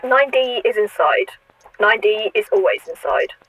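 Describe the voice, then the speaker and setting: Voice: mid-range voice, unhurried, lightly acted. A performer voicing a chatty, knowing surveillance camera in an audio drama. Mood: knowing, gossipy. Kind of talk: scripted audio drama dialogue. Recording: very noisy intercom-like sound.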